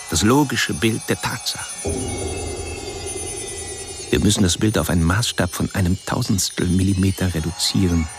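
An actor playing a cold, cerebral killer who narrates his obsessions in a measured, lecturing way in a radio crime drama. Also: low voice. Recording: music under the voice. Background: music.

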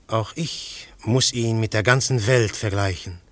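Ein Schauspieler spielt in einem Hörspiel einen leidenschaftlichen Detektiv, der mal drängend ruft, mal leise grübelt. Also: male voice, on the low side, unhurried, no echo. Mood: brooding. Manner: fervent, declamatory, shifting to hushed musing. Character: intense male detective, brooding philosopher. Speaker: detective narrator. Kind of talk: radio play acting.

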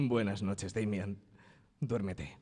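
An actor playing a steady, soothing friend in a radio drama. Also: male voice, lowish voice, takes it slowly, background noise. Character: soothing, steady male friend consoling a troubled man. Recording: reverberant radio drama, roomy sound.

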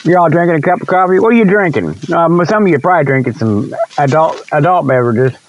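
A man's voice: on the high side, quick pace, highs cut off. A man hosting a talk show in a rambling, folksy, repetitive monologue, meandering from one thought to the next. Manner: folksy, repetitive, meandering, often chuckles to himself. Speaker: host of a radio-style talk show. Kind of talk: rambling folksy monologue, tongue-in-cheek sales pitches.

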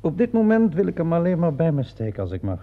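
An actor playing a calm, sceptical police inspector in a radio play, questioning in a measured, dryly ironic way. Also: male voice, a little high. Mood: composed, polite. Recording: dull, muffled old radio recording.

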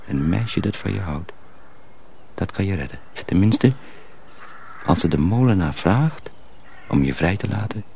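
A man playing a sly young miller's apprentice who poses as a simpleton, speaking confidingly and deliberately in a radio drama. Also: sits low, creaky, a little mellow. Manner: deliberate, hesitant, confiding, repeats himself. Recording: faint background noise.

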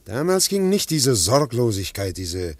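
A man's voice: medium pitch, sing-song, unhurried, no echo. A man acting in a German radio play as a pensive, awed secretary, speaking reflectively and searching for words. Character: pensive, awed former secretary recalling his uncanny master.

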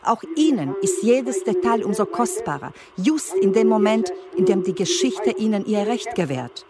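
An actress playing a citizen who reads a formal, earnest letter aloud in a radio drama. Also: female voice, mid-range voice, animated, moderate pace, natural voice. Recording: loud crowd behind the voice. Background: crowd.

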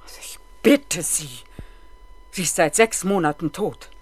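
A woman's voice: fairly low pitch, animated, unhurried, a little hiss or hum. A woman playing a proud middle-aged mother-in-law facing police questions in a 1970s German radio crime play. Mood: indignant, wounded. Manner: chatty, then sharply indignant.

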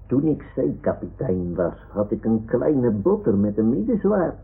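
A man's voice: on the low side, big pitch swings, measured pace, vocal fry, slightly rough, soft background music, full character voice. A man voicing a self-important toy lion who poses as an old seafarer in a radio play, speaking in boastful declarations. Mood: boastful, dreamy. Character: boastful toy lion posing as sea lion and retired sea captain. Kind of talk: 1960s-70s Dutch radio drama acting. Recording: muffled, phone-like old radio recording.

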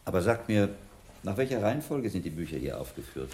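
An actor playing a shrewd, courteous, learned monk in a radio play, asking probing questions in a calm, polite manner. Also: male voice, deep voice, a little fast, slight background noise. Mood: curious, probing.

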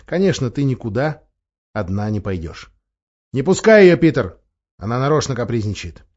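A sensible, bossy older girl as a male storybook reader plays her speaks curtly and dismissively. The pitch moves in a lively way. The audio is slightly dull. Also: moderate pace. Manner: curt, dismissive. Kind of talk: audiobook dialogue.

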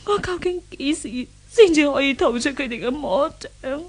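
An actress with a Hong Kong Cantonese accent playing a proud young gangster's daughter held captive, haughty and tearful in a 1970s radio drama. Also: female voice, high voice, animated, moderate pace. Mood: despairing.

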